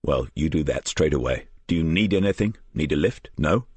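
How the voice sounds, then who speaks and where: A man's voice: extremely low pitch, animated, normal speed, breathy edge, no echo, heavily acted. A man reading the part of a seasoned, reflective police detective in an audiobook, understated and dryly ironic. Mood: concerned, brisk.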